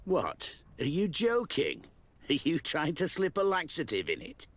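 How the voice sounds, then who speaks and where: A man playing a sly, wary, opportunistic brothel keeper with a rough, husky voice in a video game. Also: fairly high pitch, huge pitch swings, measured pace, fairly bright tone, heavily acted. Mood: incredulous. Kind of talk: video game cutscene acting.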